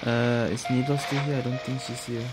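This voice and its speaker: A woman's voice: very deep voice, level tone, fairly quick, low-quality recording. A woman streaming a game with casual commentary, murmuring to herself as she plays. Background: game music.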